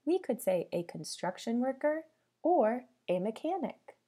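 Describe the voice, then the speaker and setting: A woman teacher reading a storybook aloud to young children, with a sing-song delivery, pausing to put questions to them. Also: fairly high pitch, big pitch swings, warm tone.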